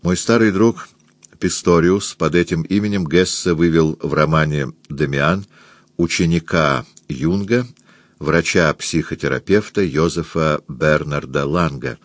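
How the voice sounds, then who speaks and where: A man narrating a first-person literary memoir as an audiobook, in a measured, clearly articulated reading that lifts at the start of phrases. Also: very deep voice, no echo.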